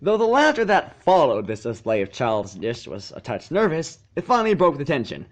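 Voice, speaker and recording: A man reading a story aloud as narrator, expressive, with dramatic, deliberate emphasis. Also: on the high side. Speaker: fan fiction narrator.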